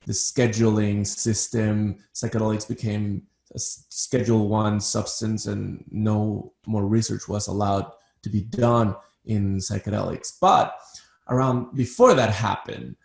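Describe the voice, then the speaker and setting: A man's voice: fairly low pitch, fairly even intonation, unhurried, gravelly, darkish tone, reverberant. A man from Ecuador who works as a psychiatrist, talking as an interview guest and often repeating words as he starts a sentence. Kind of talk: interview conversation.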